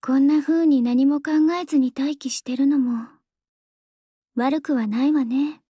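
An actress voicing a young female idol singer in a game, calm and composed, soft-spoken and earnest. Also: high voice, unhurried, dry recording, clean studio sound. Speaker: game character voice. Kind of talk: game voice lines. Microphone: close studio mic, dry.

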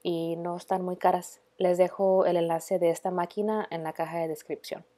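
Low, mellow woman narrating DIY. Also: level tone, moderate pace. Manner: casual, mixes in English words. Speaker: DIY home vlog host. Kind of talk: casual narration of a DIY makeover.

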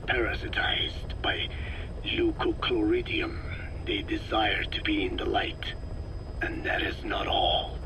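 An aged, frail scientist in a video game explains things gravely and patiently. His voice is whispered and heavy with fry, pitched on the low side. He sounds learned and measured, like a professor. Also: low-quality recording. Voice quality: breathy, whispery rasp. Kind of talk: scripted video game dialogue.

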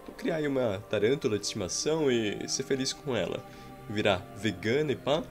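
A young man with a Brazilian Portuguese accent giving playful, rambling gameplay commentary with roleplay jokes and sudden excited outbursts, recorded indoors at home. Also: medium pitch, brisk. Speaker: gameplay streamer. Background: faint game music.

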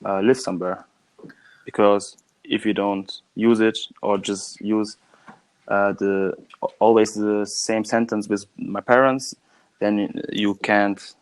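Calm young man chatting casually. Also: on the low side, slow, rough recording. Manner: calm, fluent. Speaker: language learner being tested in conversation. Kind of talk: casual chat.

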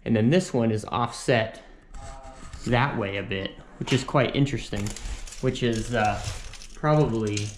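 A young man, a handyman, casually explaining a door install as he works in a small room, relaxed and chatty. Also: on the low side, unhurried, a little hiss or hum. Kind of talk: casual work narration. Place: small indoor bedroom.

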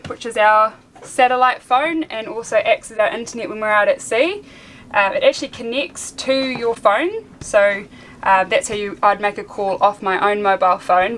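A young woman from New Zealand gives a casual, chatty tour of her small sailboat's cabin, explaining each spot as she goes. Her voice sits a little high. The camera mic picks her up close in the wooden cabin, with steady noise under her. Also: poor audio. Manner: chatty, explains while pointing things out. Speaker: sailing vlogger giving a boat tour. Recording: camera audio with clear background noise.

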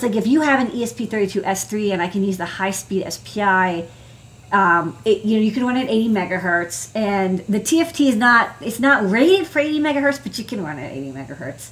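A woman's voice: medium pitch, a bit bright. Female American engineer for a casual tech stream, chatty and informal, wandering into asides as she explains. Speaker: electronics engineer presenting her work. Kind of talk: casual livestream tech talk. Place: indoor desk workspace.

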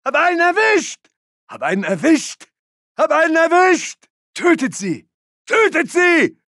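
An actor playing an aggressive, urgent German Wehrmacht soldier barking commanding battle orders in a video game. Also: male voice, very high-pitched, very animated, a little slow, a bit bright, no echo, studio quality, exaggerated voice. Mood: urgent shouting.

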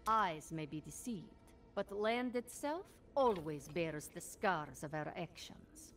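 A woman's voice: lowish voice, expressive, slow. An actress playing an earnest, devout female warrior monk in a fantasy video game, speaking formally. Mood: reverent. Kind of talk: dramatic scripted video game dialogue. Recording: loud game music behind the voice.

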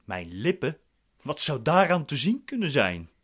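A man's voice: a little high, sing-song, measured pace, a bit bright, husky, full character voice. A man playing a proud, impatient accused man in a radio drama, curt and formal in manner. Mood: skeptical. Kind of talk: radio drama acting in Dutch.

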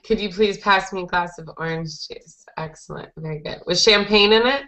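A woman's voice: lowish voice, moderate pace, fairly bright tone. A young woman teaching a conversational English lesson to a group online, in an encouraging way, on a headset. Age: young adult. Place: small indoor room, group video call. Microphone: headset boom mic. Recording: dull lo-fi video call headset audio.

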